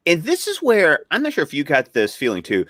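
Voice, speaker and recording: A man's voice: fairly high pitch, huge pitch swings, brisk, a bit bright, dry recording, clean studio sound. A man co-hosting a recap show, talking casually and reflectively as he analyses the story and draws comparisons. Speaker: podcast co-host discussing cartoon episodes. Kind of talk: casual chat, commentary.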